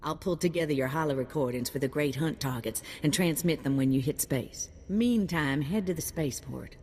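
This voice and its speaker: An actress playing a brash, streetwise bounty hunter's handler in a video game, folksy and cheeky. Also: female voice, sits low, moderate pace, a little mellow, a little hiss or hum, natural voice. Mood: brisk, wry. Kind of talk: scripted game character acting. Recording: long hall reverb.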